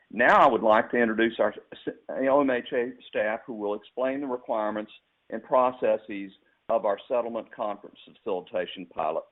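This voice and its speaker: A man, a judge, reading formal prepared remarks and introducing the speakers of a webinar. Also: fairly low pitch. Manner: formal, reads from a script. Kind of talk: prepared remarks read aloud. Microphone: phone conference line. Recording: tinny phone line.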